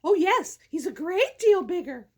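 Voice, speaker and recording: A woman's voice: very high-pitched, very animated, takes it slowly, exaggerated voice. A woman voicing a timid young billy goat in a storybook, meek and hesitant as he pleads. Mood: anxious, persuasive.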